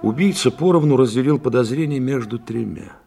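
An actor in a radio play voicing a composed, modest psychiatrist sleuth, courteous, analytical and measured in delivery. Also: male voice, medium pitch, expressive, creaky, mellow.

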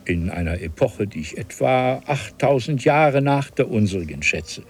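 An actor playing an elderly, courteous scholar from an ancient civilization in a German radio play, speaking in formal, archaic, ornate phrases. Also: male voice, medium pitch, sing-song, a little slow, darkish tone, faint music behind. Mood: earnest, explaining. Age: older. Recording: 1970s radio play with audible noise.

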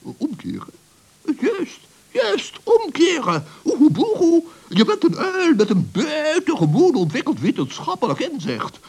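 A man's voice: extremely high pitch, wildly swooping pitch, measured pace, gravelly, a little mellow, heavily acted. A man playing an absent-minded, stubborn, pompous professor who hesitates and muddles along in a children's radio play. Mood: flustered, pondering. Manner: hesitant eh's, pompous, scatterbrained.

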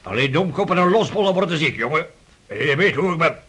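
Husky, animated gruff old prince. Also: male voice, a little high, exaggerated voice. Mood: gruff mocking. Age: older. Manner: brusque, scoffing, rapid-fire questioning. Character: gruff, mocking, energetic old prince and father. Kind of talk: radio drama acting.

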